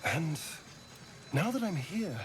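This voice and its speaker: A man's voice: on the high side, big pitch swings, slow pace, background noise. A man playing a vain, pleasure-seeking rogue in a video game, with an arch, theatrical, complaining manner. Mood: wry discontent. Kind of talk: video game character acting.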